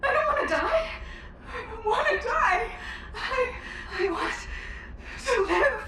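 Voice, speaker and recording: A very high, thin-voiced young female soldier in a dramatic game scene, slow and anguished as she turns monstrous. She sounds far off in deep echo. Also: sing-song, pushed and bright, slight background noise, heavily acted. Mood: panicked, tearful. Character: terrified young female soldier, despairing, turning monstrous and hateful. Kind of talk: dramatic video game acting. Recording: distant, very echoey, music behind.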